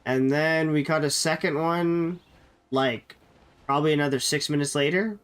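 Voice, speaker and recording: A man's voice: on the high side, moderate pace, a bit bright, clear, resonant tone, soft background music. A man streaming a game while sick with a cold, sounding nasal and chatting loosely with viewers, often correcting himself. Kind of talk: casual live-stream chat.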